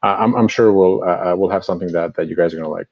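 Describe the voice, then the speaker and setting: A man giving a quick update on a video call, hesitant and full of fillers. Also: sits low, fairly even intonation. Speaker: guest. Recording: dull lo-fi call audio.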